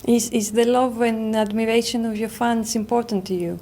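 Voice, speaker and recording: Halting woman interviewer. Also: fairly high pitch, steady tone, dark tone. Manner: halting, repeats words while forming questions. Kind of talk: interview questions in English.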